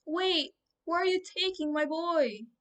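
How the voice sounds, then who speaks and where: Girl voicing a high, yelling, anxious mother. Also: expressive, unhurried, heavily acted. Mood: alarmed. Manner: yelling, calling out. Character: anxious, protective peasant mother.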